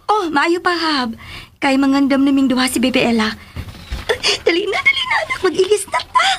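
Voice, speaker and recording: Doting Filipino wife and mother, radio drama. Very high, animated, excitable delivery. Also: moderate pace, a little hiss or hum, heavily acted. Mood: excited, gushing.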